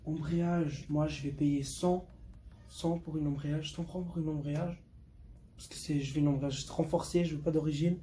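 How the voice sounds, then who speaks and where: Mellow teenage boy, casual and slangy. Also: fairly high pitch, steady tone, moderate pace, faint music behind. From Portugal. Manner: slangy, repeats words for emphasis. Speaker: vlogger showing motorbike. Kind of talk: casual unscripted vlog talk. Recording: clear background noise.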